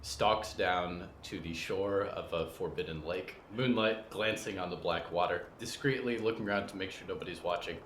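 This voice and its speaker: A man hosting a podcast, measured and courteous, moving between interview talk and literary storytelling narration. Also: deep voice, fairly bright tone. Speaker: podcast host. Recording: echoey room, faint background noise.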